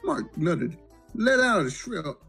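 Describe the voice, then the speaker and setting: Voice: mid-range voice, big pitch swings, slow, creaky, fairly bright tone, husky, soft background music. A person giving a crude, mock-dramatic reading of a comic story, sometimes breaking off into blunt asides. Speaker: amateur story reader.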